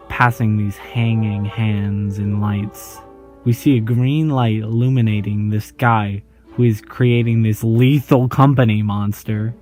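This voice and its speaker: Slow-talking casual male YouTuber. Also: mid-range voice. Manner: relaxed, jokey, reads on-screen text aloud. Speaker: YouTube host. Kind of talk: casual video commentary.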